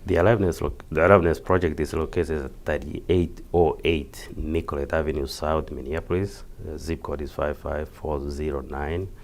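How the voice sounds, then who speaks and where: A man with a Kenyan accent explaining things as an interview guest, haltingly and repeating words. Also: rumbling low, fairly even intonation, a little mellow. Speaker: interview guest, community health project worker.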